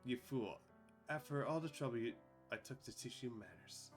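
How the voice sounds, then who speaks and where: A man voicing a cold, scheming female high queen who speaks calmly and mockingly, in a fan dub. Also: moderate pace, soft background music, lightly acted. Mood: soft tender. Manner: calm, cruelly mocking.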